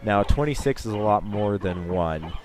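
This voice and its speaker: Young male let's-play host, bass-low voice, stuffy with a cold, rambling and wry. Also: lively intonation, normal speed, over music. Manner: rambling, self-mocking, chatty. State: sniffling from a cold. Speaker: gaming channel host. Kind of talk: let's play commentary over gameplay.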